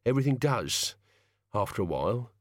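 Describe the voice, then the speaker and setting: A man's voice: mid-range voice, sing-song, moderate pace, dry recording, studio quality, natural voice. A man voicing a cheeky old manservant, blunt and casual, with colloquial speech and dropped consonants. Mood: cheerful, unrepentant.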